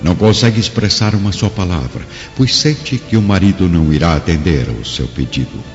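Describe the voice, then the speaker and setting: Grave bass man, Brazilian accent, dramatic radio-play narration, animated delivery, lo-fi with long reverb and music. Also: a little fast. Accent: Brazilian Portuguese.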